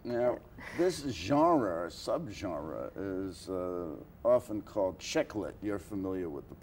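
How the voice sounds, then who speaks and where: Halting, lower-voiced older male interviewer. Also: sing-song, a little mellow, a little hiss or hum. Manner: halting, deliberate, frequent pauses. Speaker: interview host. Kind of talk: formal talk-show interviewing.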